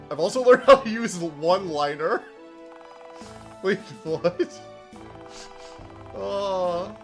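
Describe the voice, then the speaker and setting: Excitable streamer, higher voice, game music. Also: male voice. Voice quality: self-described whiny voice. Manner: excitable, laughs often, jumps between reading and chatting. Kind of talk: casual let's-play commentary, reading game text aloud.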